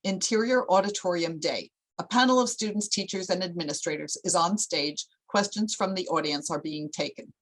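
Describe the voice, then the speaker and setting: A woman's voice: on the low side, normal speed, few pauses, rough recording. A woman narrating a screenplay at a table read, reading out scene headings and stage directions.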